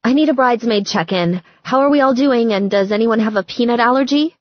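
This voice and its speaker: A fussing bride in an audiobook, played by a woman narrator, talks in a raised, chirpy pitch. She is brisk, bossy and insistent, on a slightly muffled recording. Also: no echo, lightly acted. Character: bossy, brisk, fussing bride organizing her bridal party.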